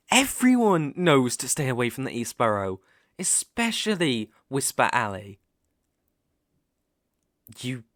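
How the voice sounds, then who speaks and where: A man with a British accent playing a sinister, smooth-talking werewolf in an ASMR roleplay, theatrical and teasing, sometimes dropping to a whisper. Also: medium pitch, fairly bright tone, no room sound, studio quality. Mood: teasing warning. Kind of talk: ASMR roleplay audio acting.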